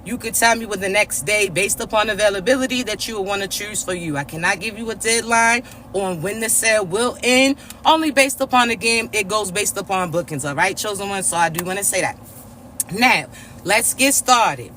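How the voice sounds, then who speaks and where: A man with a raspy, congested voice, giving a spiritual reading to his viewers in a slow, repetitive preaching way, often checking in with his listeners. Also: sits high, bright, forceful tone, slight background noise. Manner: slow, repetitive preaching with frequent "all right?" check-ins. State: congested, stuffy nose. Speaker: spiritual reader and coach.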